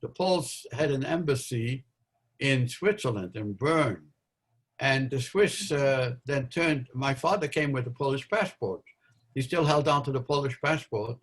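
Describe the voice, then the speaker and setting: A man in his eighties from Belgium, a guest recounting his memories in an interview, talking in a halting way with many fillers and restarts. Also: mid-range voice, fairly bright tone. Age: 80s. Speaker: interview guest, Holocaust survivor.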